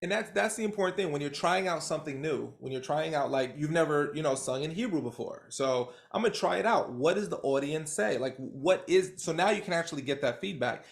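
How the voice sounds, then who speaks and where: A man leading a training call as coach, talking in an animated, rambling way with lots of fillers over a video call. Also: a little high, brisk, creaky, hardly any pauses.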